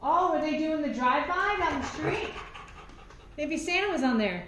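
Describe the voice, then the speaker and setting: A woman's voice: high-pitched, lively intonation, moderate pace. A woman talking informally and encouragingly at home, explaining things step by step in casual chat. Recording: noisy amateur home recording with clicks.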